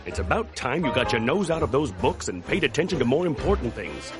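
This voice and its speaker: A man plays a conceited, swaggering hunter with a lively, smug delivery. Music plays clearly behind him. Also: medium pitch, brisk, close to an everyday voice. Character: conceited brawny male hunter, boastful.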